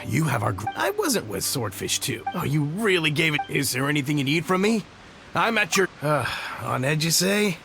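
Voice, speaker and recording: A flustered young game soldier, eager and deferential. His voice is bright and creaky with lively swoops, over faint music. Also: a little high, full character voice. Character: eager, nervous young male rank-and-file soldier. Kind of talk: video game dialogue.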